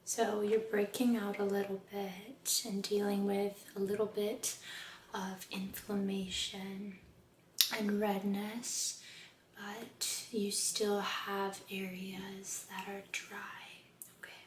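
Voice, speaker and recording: A woman speaking softly and breathily, often near a whisper, in a gentle, soothing ASMR role-play as a spa aesthetician. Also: mid-range voice, flat delivery, echoey room, a little hiss or hum. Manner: gentle, soothing, unhurried, careful. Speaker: ASMR performer playing a med spa aesthetician. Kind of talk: soft-spoken ASMR personal attention role-play.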